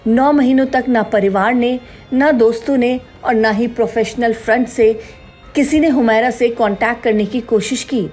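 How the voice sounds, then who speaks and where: High-pitched woman narrating news over music. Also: normal speed, darkish tone. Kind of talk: scripted dramatic news narration. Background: background music.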